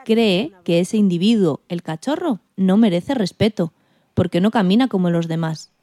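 Woman w reading out a radio script, soft dark tone, medium pitch. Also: expressive, moderate pace, no room sound. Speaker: radio co-host. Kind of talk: scripted narration read aloud.

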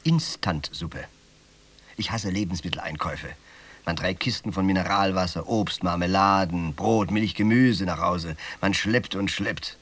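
An actor in a German radio play, playing a middle-aged, world-weary rich man with a husky voice and a languid, musing manner. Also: low-pitched, a little slow, fairly bright tone. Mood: grumbling.

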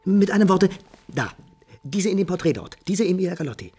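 A husky-edged young prince in a 1950s radio drama. He is imperious and lovesick, speaking emphatic with widely swinging pitch and theatrical diction. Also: animated, brisk, a little mellow. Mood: anguished, furious. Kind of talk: 1950s German radio play acting.

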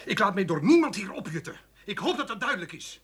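A man's voice: high-pitched, very animated, fast talker, background noise. An actor playing a stubborn, indignant male farmer in a radio drama, blunt in speech and stammering over repeated words when agitated. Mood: defiant. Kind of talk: naturalistic radio drama acting.

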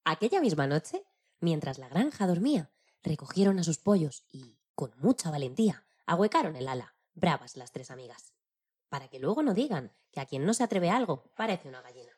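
A woman's voice: deep voice, big pitch swings, a little fast, a little mellow, breathy edge. A woman narrating scripted stories expressively for a children's programme.

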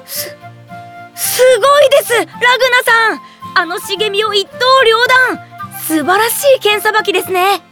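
A woman's voice: extremely high pitch, lively intonation, moderate pace, sharp tone, faint music behind, exaggerated voice. A woman playing a polite, eager, friendly teenage boy adventurer in a Japanese game drama CD. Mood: admiring.

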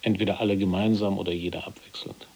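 An actor playing a calm, educated Polish resistance fighter in prison, speaking with measured, formal precision in a radio drama. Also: male voice, sits low. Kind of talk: German radio drama dialogue.

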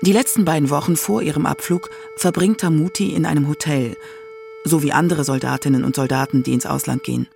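Woman narrator with a creaky edge. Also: deep voice, fairly quick, darkish tone, faint music behind. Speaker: narrator of a radio documentary feature. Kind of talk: scripted narration.

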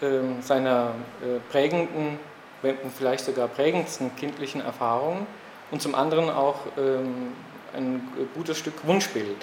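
A man who is an author, speaking thoughtfully in an interview and halting often on fillers. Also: mid-range voice, a little mellow, echoey room, a little hiss or hum.

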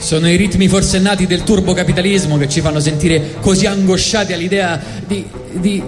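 A man's voice: sits high, fairly even intonation, fairly quick. A man with a Roman accent giving a mock-solemn, satirical live reading on stage. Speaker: storyteller reading a comic monologue on stage. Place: live stage benefit event. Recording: echoey hall, live stage, music behind.

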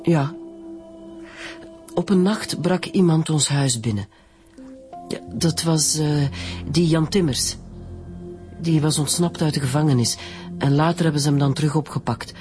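An actress with a Flemish accent playing a bitter widow making a confession in a radio drama. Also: female voice, low voice, darkish tone, lightly acted. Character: bitter, confessing middle-aged widow of an undertaker.

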